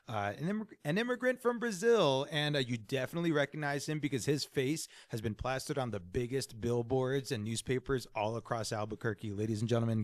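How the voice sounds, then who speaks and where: A man's voice: medium pitch, no echo, clean studio sound. A man hosting an interview podcast, upbeat and playful, asking curious questions. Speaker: podcast host. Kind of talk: casual interview chat.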